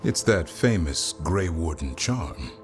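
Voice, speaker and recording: A blunt male warrior and bodyguard from a fantasy game. His voice is deep and swings freely in pitch, wry and protective in tone. Faint music plays underneath. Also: expressive, slow pace. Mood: wry, fond. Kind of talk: dramatic video game dialogue.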